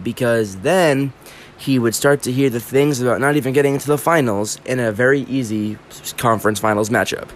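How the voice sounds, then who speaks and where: A man's voice: mid-range voice, a little hiss or hum. A congested man hosting his own show, delivering an opinionated, emphatic sports monologue. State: congested from allergies. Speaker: podcast host.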